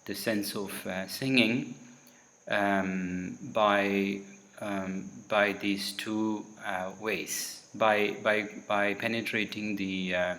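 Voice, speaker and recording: A man whose first language is Tamil, giving a recorded solo talk in a halting way, often pausing to search for words mid-sentence. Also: on the low side, fairly even intonation, fairly bright tone.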